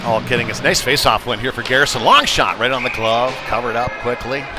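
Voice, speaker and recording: A man commentates live on an ice hockey game with a medium-pitched voice. His play-calling is conversational and informal, following the action as it unfolds. Loud music plays behind him in the rink. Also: fast. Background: loud arena music.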